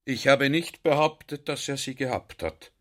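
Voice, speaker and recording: A man's voice: mid-range voice, normal speed, a bit bright, lightly acted. Man playing an effusive, confiding army general. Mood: confiding, sentimental. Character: talkative Austrian army general, earnest, baffled by ideas. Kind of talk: radio drama monologue.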